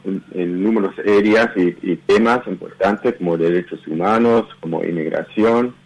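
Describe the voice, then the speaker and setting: A man with an English-speaking Canadian accent, answering interview questions in a measured way and often repeating words. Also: lowish voice, low-quality recording. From Uruguay and Canada. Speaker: guest researcher. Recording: radio broadcast audio.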